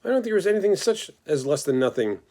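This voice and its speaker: A man's voice: on the high side, sing-song, quick pace, warm tone, pitched above the performer's own voice. A man voicing a lonely young male pig who pleads and whines for a friend, in a storybook read-aloud. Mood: puzzled. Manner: pleading, tearful, rambling.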